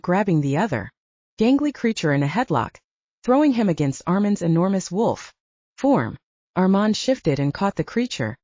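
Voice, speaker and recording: A woman's voice: lowish voice, warm tone, no room sound, muffled recording. A woman narrating a dramatic fiction audiobook, reading from a script.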